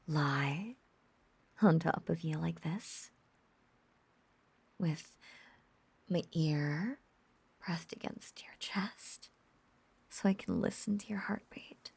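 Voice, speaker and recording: Woman playing a breathy, creaky, lovesick witch. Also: deep voice, expressive, darkish tone, full character voice. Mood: needy, coaxing. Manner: breathy half-whispered cooing, lingering pauses. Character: lovesick obsessive young witch, possessive, teasing and doting. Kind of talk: intimate roleplay audio drama.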